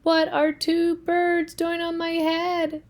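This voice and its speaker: A woman's voice: extremely high pitch, monotone, takes it slowly, pitched above the performer's own voice. A woman voicing an anxious, fretful male elephant in a children's storybook read-aloud, asking worried questions. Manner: worried, questioning, rising alarm.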